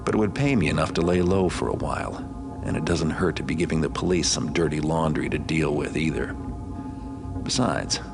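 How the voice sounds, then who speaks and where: An actor playing a cynical, world-weary master thief, dry-witted and sardonic in an understated way, in a scripted video game. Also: male voice, a little fast. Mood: wry, calculating. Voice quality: very low, gravelly. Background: music.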